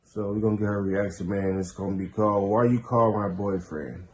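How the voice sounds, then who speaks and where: Low-voiced American man, loose and slangy. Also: steady tone, brisk, a little hiss or hum. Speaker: prank video host. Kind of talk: casual vlog chat and reactions.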